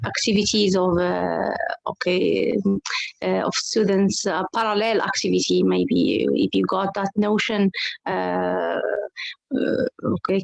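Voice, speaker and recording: A woman's voice: mid-range voice, vocal fry, no echo, rough recording. A woman from Morocco, an English teacher speaking on an online round table, hesitant and full of fillers and restarts. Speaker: English teacher and club coordinator.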